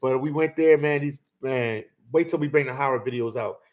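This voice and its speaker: A man's voice: medium pitch, a little fast, darkish tone. Laid-back man chatting on a livestream. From Philadelphia. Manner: laid-back storytelling, lots of "man" and "you know". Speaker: host. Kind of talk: casual livestream chat.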